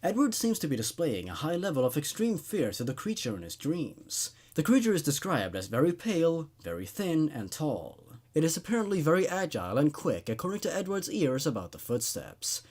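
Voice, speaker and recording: A man voicing a measured, curious male psychiatrist with a clinical manner in a horror story reading. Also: fairly high pitch, sing-song, darkish tone, slightly rough, voice pushed up. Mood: intrigued. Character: calm, probing male psychiatrist with secret obsession.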